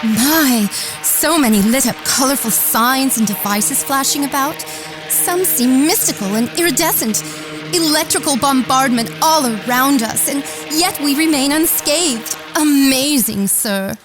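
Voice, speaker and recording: Radio drama role: gushing, easily awed society lady, theatrical and genteel, highish pitch, clear music underneath. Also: animated, a little slow, rough recording. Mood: awed, delighted. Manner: breathless wonder, theatrical, genteel.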